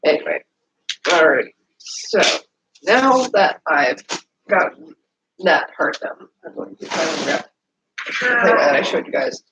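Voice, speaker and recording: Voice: mid-range voice, sing-song, a little slow, long pauses. A streamer who is starting a cold and sounds sniffly, chatting casually while wrapping gifts at home and rambling apologetically to the viewers and to themself. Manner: rambling, apologetic, talks to self. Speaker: streamer and writer. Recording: noisy home recording.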